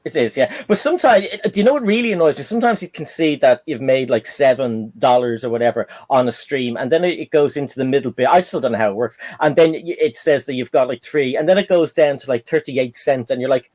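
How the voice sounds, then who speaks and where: A man with an Irish accent hosting a casual live-stream panel chat, telling animated stories. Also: medium pitch, fast, hardly any pauses. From Ireland. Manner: animated, chatty storyteller.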